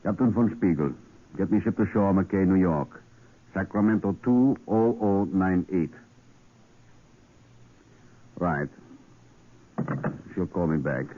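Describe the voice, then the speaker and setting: Casting: dutiful sea captain, very low voice, clipped and official, tinny old radio sound. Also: male voice, lively intonation, slow pace, long pauses, husky, exaggerated voice. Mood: businesslike, deferential. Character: businesslike, deferential male ship's captain. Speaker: radio drama actor. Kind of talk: old-time radio drama acting. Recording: tinny, narrow-band vintage radio recording.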